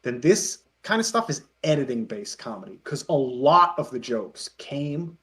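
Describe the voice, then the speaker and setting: A man's voice: medium pitch, sing-song, moderate pace, a bit bright. A man chatting casually as an interview guest, rambling and acting out imagined lines as he explains. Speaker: guest, parody web video creator and editor. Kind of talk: animated casual chat.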